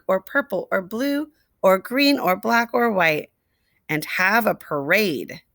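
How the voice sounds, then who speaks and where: A woman storyteller reading a picture book expressively to young children, deliberately, with asides and questions to the listeners. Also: mid-range voice, vocal fry, fairly bright tone, dry recording, studio quality. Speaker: read-aloud storyteller.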